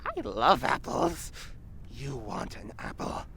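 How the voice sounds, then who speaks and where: Creaky old demon hag. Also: female voice, extremely low pitch, wildly swooping pitch, slow pace, a bit bright, exaggerated voice. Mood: eerie, insistent. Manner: ominous, theatrical, archaic phrasing. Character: creepy, ravenous old demon woman with apples. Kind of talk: audio drama acting.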